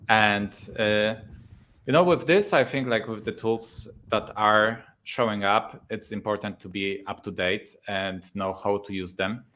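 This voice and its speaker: A man's voice: lowish voice, a little slow. A man in his thirties from Poland with a Polish accent, a YouTuber talking casually as a guest and laughing often. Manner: relaxed, laughs often, many fillers. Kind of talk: casual interview talk.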